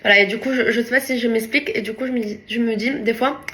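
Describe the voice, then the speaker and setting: Non-native woman vlogger, chatty, higher voice, roomy sound with faint background noise. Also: fairly even intonation, fast, pushed and bright. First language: not French. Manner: chatty, searching for French words. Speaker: lifestyle influencer. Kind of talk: casual vlog talk to followers.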